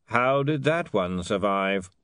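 A man voicing a pompous, easily baffled older lord who blusters in a halting, testy way, as in an audiobook. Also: lowish voice, expressive, fairly bright tone, smooth, dry recording, clean studio sound. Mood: blustering, flustered.

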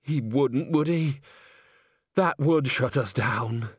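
A man's voice: mid-range voice, slow, breathy edge, no echo, studio quality. A man voicing a fretful, indignant theatre owner in an audiobook, stammering and wailing with exasperation. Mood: worried moaning.

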